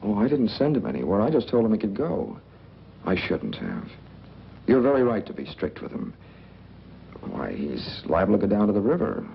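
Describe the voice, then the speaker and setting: A cold, guarded man from a 1940s noir. His voice is gravelly and his lines are short and dry. It comes through a muffled, slightly noisy film soundtrack. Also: on the low side, wildly swooping pitch, normal speed, full character voice.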